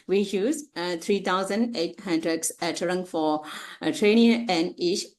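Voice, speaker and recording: A woman with a Burmese accent giving an academic presentation in a halting, hesitant way with frequent uhs. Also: on the low side. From Myanmar. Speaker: conference presenter, researcher.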